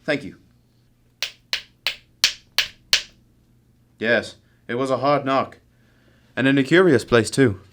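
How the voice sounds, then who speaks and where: A composed gentleman detective from a radio mystery, acted by a man. The voice is mid-pitched, with deliberate, courteous authority and an analytical turn. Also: noisy background, natural voice. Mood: inquisitive. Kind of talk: radio drama acting.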